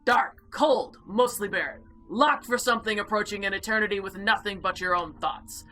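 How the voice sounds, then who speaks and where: A woman voicing an imperious, condescending eldritch female being in a visual novel, speaking formally and deliberately. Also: medium pitch, sharp tone, a little hiss or hum, voice pushed down, lightly acted. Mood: coldly haughty. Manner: formal, haughty, deliberate speech. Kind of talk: visual novel character voice-over.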